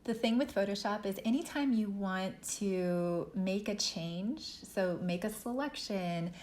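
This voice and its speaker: A woman instructor leading an art workshop, walking students through software step by step in a relaxed, thinking-aloud way. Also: medium pitch, a little slow. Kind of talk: software tutorial lesson. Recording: echoey room with faint noise.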